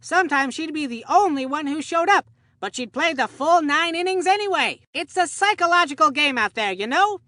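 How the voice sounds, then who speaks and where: An eager young boy calling baseball for a cartoon video game. The voice is high and bright, with an earnest, folksy, chatty delivery like a seasoned little veteran of the league. The sound is dry and studio-clean. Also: expressive, moderate pace, no echo. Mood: folksy reminiscing. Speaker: sports commentator. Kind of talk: cartoon game sports commentary.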